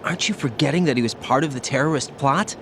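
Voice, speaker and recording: A male actor as an earnest young game operative with a mid-pitched voice. He sounds questioning and uneasy, with clear noise behind him. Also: fast, a bit bright, lightly acted. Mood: troubled, questioning. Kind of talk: dramatic video game voice acting.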